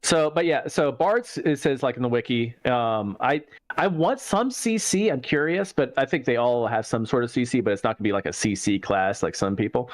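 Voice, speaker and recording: A man with a Southern US accent co-hosting a casual gaming chat in a rambling, halting, jokey way. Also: mid-range voice, dry recording. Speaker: gaming show co-host.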